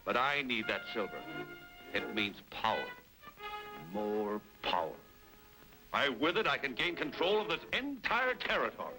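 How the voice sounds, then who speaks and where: An actor playing a ruthless, power-hungry colonel in an old-time radio western, commanding and scheming. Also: male voice, mid-range voice, big pitch swings, unhurried, low-quality recording. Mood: gloating. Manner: scheming, commanding, smooth-talking. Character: ruthless power-hungry colonel, male villain grabbing control. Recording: old radio drama over music.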